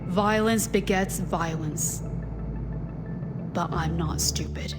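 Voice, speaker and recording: A woman's voice: on the low side, takes it slowly. A woman playing a principled, weary settlement leader in a game cutscene. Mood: measured, firm. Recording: loud music behind dialogue.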